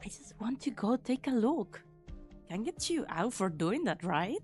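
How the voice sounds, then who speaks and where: Girl voicing a cocky sardonic merc lady, high voice, lively delivery, music under it, game fandub. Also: a little slow. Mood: sardonic, casual. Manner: sardonic, foul-mouthed, teasing. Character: brash, cocky, menacing young female mercenary spy hunter. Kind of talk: amateur anime-game fan dub acting.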